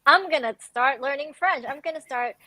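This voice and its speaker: Eager female host, slightly high voice. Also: normal speed, pushed and bright, no room sound. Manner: eager, rambling questions with restarts. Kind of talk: interview questions.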